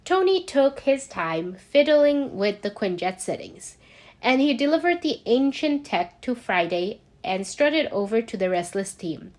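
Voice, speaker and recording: Woman, story narration, amateur audiobook reader, slightly high pitch, very slow pace. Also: sing-song. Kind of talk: scripted story narration with acted dialogue.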